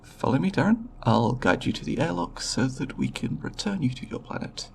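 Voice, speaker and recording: Man as a hesitant, creaky-voiced alien researcher. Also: medium pitch, animated, a little hiss or hum. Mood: formal, businesslike. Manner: hesitant, halting, formal scientific wording. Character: timid, scholarly male alien researcher, curious and polite. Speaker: roleplay voice performer. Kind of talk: ASMR roleplay monologue.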